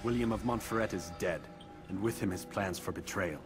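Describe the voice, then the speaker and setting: An actor playing a grave, defiant assassin in a video game, blunt, solemn and formal. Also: male voice, deep voice, brisk. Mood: puzzled, grave. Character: grave young male assassin, questioning and defiant. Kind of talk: dramatic video game cutscene acting. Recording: long hall reverb, music behind.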